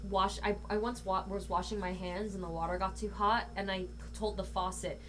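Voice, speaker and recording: A woman's voice: medium pitch, steady tone, normal speed, a bit bright. A woman talking casually as a podcast guest, outspoken and emphatic, swearing freely. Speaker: podcast guest, voice actor and comedian. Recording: faint hum and background noise.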